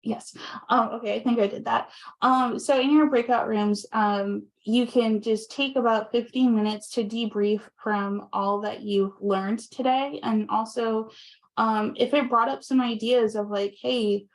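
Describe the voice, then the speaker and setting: Hoarse woman on a video call, slight fry. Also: fairly high pitch, fairly even intonation, a little slow, poor audio. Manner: soft-spoken, frequent ums and nervous laughs. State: slightly hoarse from long talking. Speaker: guest speaker. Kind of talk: presentation wrap-up and casual chat on a video call.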